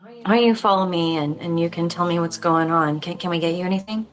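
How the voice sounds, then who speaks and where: A woman's voice: fairly low pitch, a little fast, soft background music, close to an everyday voice. A woman playing a composed, wry police lieutenant with a dry, placating manner in a film table read. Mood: wry, polite.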